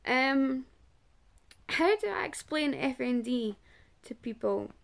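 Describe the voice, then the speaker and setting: A Scottish woman speaks slowly and haltingly, often breaking off mid-phrase to find the next word. Her voice sits fairly high, with a gravelly, creaky edge, and she addresses the viewer in a personal to-camera talk. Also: very animated. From Scotland. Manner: halting, searching for words with long pauses. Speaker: awareness vlogger and support group organiser.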